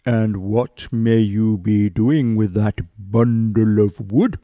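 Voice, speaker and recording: A man voicing a big, greedy, curious male bear in a storybook reading, slow and deep. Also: steady tone, no echo, muffled recording. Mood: polite, anxious. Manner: slow, deep, polite then eager. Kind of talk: storybook read-aloud.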